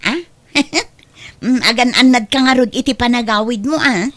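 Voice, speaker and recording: A woman acts as a hardworking housemaid in a comedy audio drama. The voice sits high, with a dry studio-like closeness. She comes across as a humble worker who can also tease. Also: moderate pace, fairly bright tone, no room sound, close to an everyday voice. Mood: teasing. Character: hardworking female housemaid, meek to employers, teasing to peers. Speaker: voice actress. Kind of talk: Ilocano comedy drama acting.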